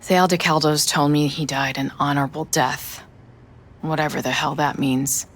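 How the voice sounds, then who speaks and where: Weary widowed mother, low pitch, gravelly fry, bitter, game drama. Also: steady tone, normal speed, slight background noise. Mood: bitter, grieving. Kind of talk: video game voice acting.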